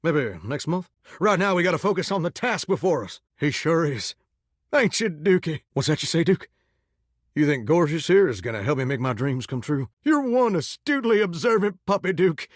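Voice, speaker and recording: A man's voice: fairly high pitch, big pitch swings, normal speed, dry recording, clean studio sound. An actor voicing an eager male talking showerhead who dreams of pop stardom, with a folksy drawl and a theatrical, gushing manner. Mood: upbeat. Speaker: dating game character. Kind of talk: game voice acting.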